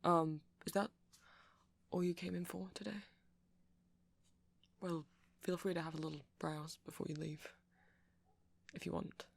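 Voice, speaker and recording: A man voicing a shy, nerdy, kindly vampire shopkeeper in an ASMR roleplay, hesitant and awkwardly polite, husky and breathy. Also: on the high side, steady tone, a little slow, pauses often, exaggerated voice. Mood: awkward, friendly.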